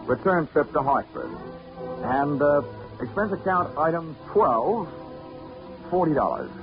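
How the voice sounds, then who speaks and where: A man's voice: mid-range voice, close to an everyday voice. An actor playing a wry, hard-boiled insurance investigator, narrating his case with dry wisecracks in 1940s radio style. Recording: dull 1940s radio recording, loud music.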